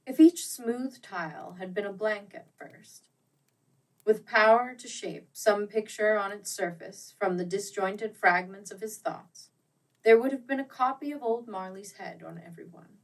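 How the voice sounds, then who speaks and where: A woman narrating a classic ghost story as an audiobook, with theatrical, expressive and deliberate phrasing. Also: mid-range voice, recorded from a distance, low-quality recording. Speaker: audiobook reader.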